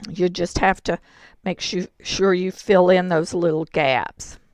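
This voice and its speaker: A woman with a hoarse voice, explaining a card-making project step by step in a chatty way. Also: lowish voice, fairly even intonation, unhurried, dry recording. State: hoarse, throat-clearing. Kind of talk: crafting tutorial.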